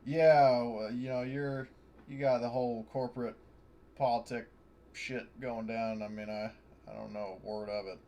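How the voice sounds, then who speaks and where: A man chatting casually as a player in a tabletop game, halting as he thinks aloud. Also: medium pitch, fairly even intonation, dark tone, slight background noise.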